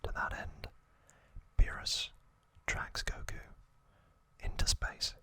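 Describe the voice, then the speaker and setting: Slow, whispering male ASMR host. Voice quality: breathy unvoiced whisper. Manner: slow, hushed, short pausing phrases. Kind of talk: whispered ASMR episode recap.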